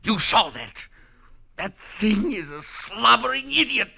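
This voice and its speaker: An actor playing a haunted, tormented professor in a 1940s radio drama, speaking with intense anguish that breaks into outbursts. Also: male voice, high voice, sing-song, unhurried, vocal fry, crowd noise, full character voice. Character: haunted male professor, scholarly, tormented and fraying. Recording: dull lo-fi vintage radio broadcast.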